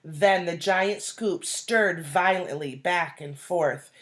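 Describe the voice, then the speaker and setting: A woman reading a children's storybook aloud like a teacher, deliberately, pausing to explain and put questions to young listeners. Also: fairly low pitch, fairly bright tone. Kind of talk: expressive storybook read-aloud with asides and questions.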